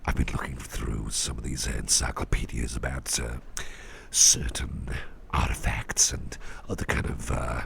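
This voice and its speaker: A man performing an ASMR roleplay as an attentive boss, speaking in a gentle, reassuring, breathy half-whisper with an unhurried delivery. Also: a bass voice, little pitch movement, slight background noise. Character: soft-spoken, attentive businessman boss who researches legendary artifacts. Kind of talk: ASMR roleplay, intimate one-sided chat.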